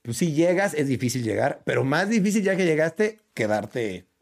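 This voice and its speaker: Gravelly Mexican podcast host. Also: male voice, on the high side, moderate pace. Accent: Mexican Spanish. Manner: relaxed, affirming interviewer. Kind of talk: casual podcast interview.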